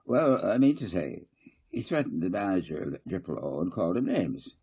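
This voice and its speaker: A man's voice: deep voice, very animated, fairly quick, a little mellow, breathy edge, heavily acted. A man voicing a flustered, blustering small-town councillor in a storybook, sputtering and repeating himself indignantly.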